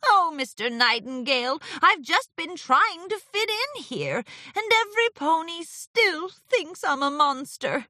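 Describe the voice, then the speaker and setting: A woman performs a theatrical male chaos creature from a children's storybook. The voice is high and bright, with big dramatic swings. He sounds haughty and wounded, savoring every florid phrase. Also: very animated, unhurried, hardly any pauses, no room sound, studio quality, heavily acted. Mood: sulky, wounded. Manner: haughty, melodramatic, florid wording.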